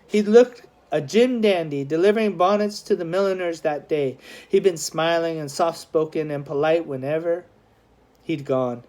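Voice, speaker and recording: Woman, slightly low voice, audiobook reader, expressive storytelling, scripted children's fiction. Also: normal speed. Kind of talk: expressive scripted narration of a children's historical novel.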